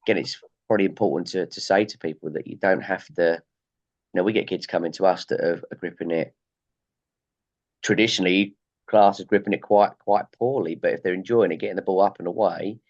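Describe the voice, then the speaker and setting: A man with a very low voice hosts an interview about golf coaching. He asks long, rambling questions and circles back on himself. The recording sounds a little dull and lo-fi. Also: a little fast, a little mellow. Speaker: podcast host.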